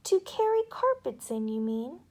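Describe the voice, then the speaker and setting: A woman voicing a curious, excitable, stubborn little boy in a storybook, exclaiming eagerly. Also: high voice, moderate pace, exaggerated voice. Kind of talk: storybook character voice.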